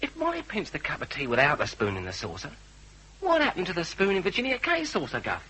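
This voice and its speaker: A man's voice: a little high, huge pitch swings, fast, pushed and bright, slightly rough, full character voice. An actor playing an eager young male detective's assistant in a radio drama, chirpy, colloquial and inquisitive. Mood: curious. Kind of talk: classic radio drama acting. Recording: dull, noisy old radio recording.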